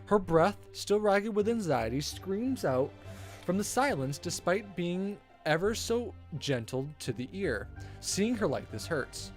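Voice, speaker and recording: Animated streamer reading a visual novel aloud. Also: mid-range voice, unhurried, faint music behind. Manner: theatrical, playful, stumbles over read text. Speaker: game streamer.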